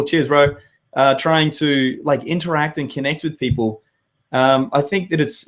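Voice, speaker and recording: An Australian man in his thirties with a hoarse voice, hosting a live web show and chatting with viewers in an upbeat, rambling way. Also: a little high. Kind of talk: live webinar chat to viewers.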